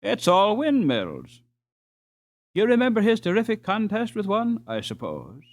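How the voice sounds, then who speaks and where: A man voicing an eager, bookish, talkative schoolboy in a storybook, animated and chatty. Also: sits high, a little slow, dry recording, pitched above the performer's own voice, heavily acted. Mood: eager, amused. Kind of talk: storybook character dialogue.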